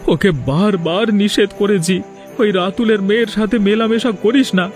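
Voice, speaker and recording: A man's voice: high-pitched, moderate pace, soft background music, close to an everyday voice. A man playing a worried, reproachful village father in a melodramatic cartoon. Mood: distressed, reproachful. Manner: earnest, reproachful.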